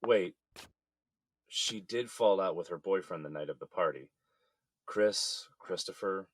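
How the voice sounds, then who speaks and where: An actor with an American accent playing a regretful, resigned middle-aged husband in jail, subdued and conceding, in an audio drama. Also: male voice, deep voice, measured pace. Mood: thoughtful.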